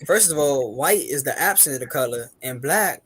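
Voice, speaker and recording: A man's voice: on the high side, animated, normal speed, fairly bright tone, slight background noise. A man on a livestream panel, explaining his view point by point with examples. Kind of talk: casual panel discussion.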